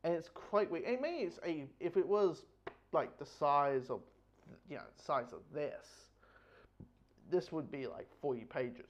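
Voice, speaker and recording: Man, fairly high voice, casual reviewer, rambling and repetitive delivery, dull lo-fi recording. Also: expressive, unhurried. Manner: rambling, repeats phrases as they go. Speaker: comic book reviewer. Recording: dull, lo-fi audio.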